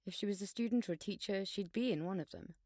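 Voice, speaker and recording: A woman playing a sarcastic, grudging teenage schoolgirl in an audio drama, with deadpan wit. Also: medium pitch, fast, no echo, studio quality, lightly acted. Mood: cooperative.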